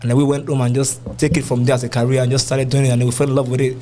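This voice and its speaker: A man's voice: medium pitch, level tone, very fast, dark tone, slight background noise. A young man with a Nigerian accent talking casually and colloquially as a musician in a podcast interview. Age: young adult. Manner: colloquial, uses "you know what I'm saying".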